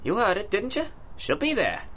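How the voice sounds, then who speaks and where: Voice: medium pitch, wildly swooping pitch, moderate pace, fairly bright tone, a little hiss or hum. An actor playing a surly, streetwise informant in a 1930s-style radio drama, talking in slangy tough-guy crook speech. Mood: smug. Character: surly, streetwise underworld informant, grudging and sly.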